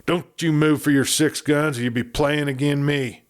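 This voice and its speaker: A man voicing a big male outlaw leader who gives orders in a gruff, commanding way. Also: a little high, steady tone, moderate pace, pitched above the performer's own voice, lightly acted.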